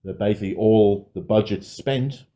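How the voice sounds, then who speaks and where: A man's voice: lowish voice, moderate pace, dark tone. A man co-hosting a podcast, chatting casually about films with a sardonic, sweary manner. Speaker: podcast co-host. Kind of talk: casual film discussion, sweary.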